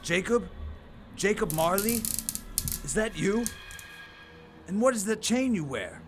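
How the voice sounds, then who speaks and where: An actor playing a crotchety, fearful old miser in an audio drama, with a querulous, sputtering way of talking. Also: male voice, high-pitched, animated, slow, over music. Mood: wary, querulous. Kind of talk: audio theater acting.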